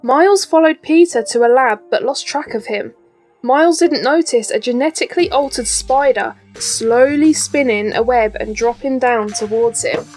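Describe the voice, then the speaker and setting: High-voiced woman narrating a children's story. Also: moderate pace, a little mellow. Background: faint background music.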